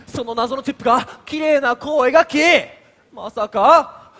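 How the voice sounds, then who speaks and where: A man playing an excitable courtroom announcer in a stage play, giving grandiose sports-style commentary. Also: very high voice, a little slow, exaggerated voice. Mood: excited. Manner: grandiose, declamatory.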